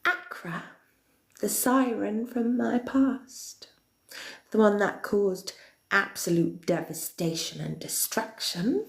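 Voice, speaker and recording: A woman playing a centuries-old villainous queen of darkness, chatting with viewers in a slow, theatrical, often whispered delivery. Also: medium pitch, vocal fry. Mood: sinister, theatrical. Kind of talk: in-character chat with viewers. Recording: roomy echo.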